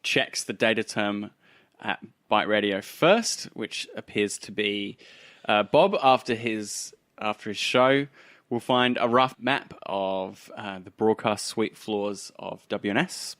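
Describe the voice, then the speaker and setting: A man's voice: fairly low pitch, takes it slowly, a bit bright, no room sound, clean studio sound. A man narrating a tabletop roleplaying game as game master, setting scenes descriptively.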